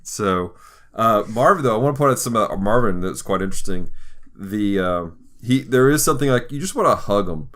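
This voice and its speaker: A man hosting a casual pop-culture talk, retelling scenes in an animated way and slipping into quoted voices. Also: on the low side, brisk. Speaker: podcast host.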